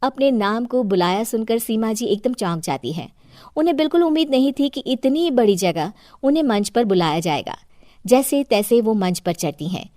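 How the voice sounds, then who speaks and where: Woman narrating, fairly high dry voice. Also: animated, moderate pace, warm tone, dry recording. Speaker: story narrator. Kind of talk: scripted moral-story narration.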